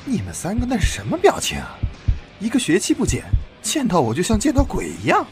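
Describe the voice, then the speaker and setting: An actor playing an excitable young male student in an audio drama, heard at a distance under music. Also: sits high, fairly quick. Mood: cheerful, indignant.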